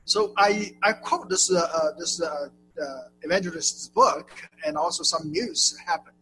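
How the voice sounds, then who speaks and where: Man with a Chinese accent, high bright voice, halting live interpretation, faint music behind. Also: a little hiss or hum, low-quality recording. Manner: halting, repeats and restarts while translating.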